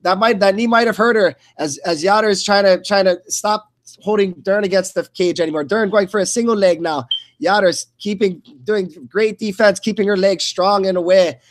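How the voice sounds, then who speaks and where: Male play-by-play sports commentator, high register, blow-by-blow delivery with frequent stumbles and repeats. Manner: halting, repeats words and stumbles mid-phrase.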